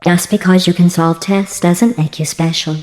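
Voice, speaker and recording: A woman voicing a passive-aggressive female artificial intelligence in a video game, deadpan and mock-sweet, with synthetic robotic processing. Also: fairly low pitch, moderate pace, in a large hall, faint music behind. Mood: condescending. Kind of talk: scripted video game dialogue.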